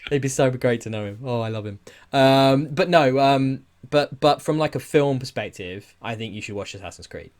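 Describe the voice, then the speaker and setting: A man's voice: medium pitch, normal speed, hardly any pauses, a little mellow. A man co-hosting a podcast in casual conversation, speaking with enthusiasm and strong opinions. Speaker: podcast co-host. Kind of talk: casual film and game chat.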